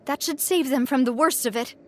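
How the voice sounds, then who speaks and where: A woman voicing a warm, responsible young female leader in a video game. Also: sits high, a little fast, a little hiss or hum. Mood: resolute.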